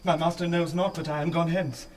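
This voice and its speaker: A man's voice: on the high side, little pitch movement, brisk, a little hiss or hum, close to an everyday voice. A man playing a timid, fearful young servant in classical Shakespearean radio drama, nervous and hesitant. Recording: slightly distant, faint night ambience.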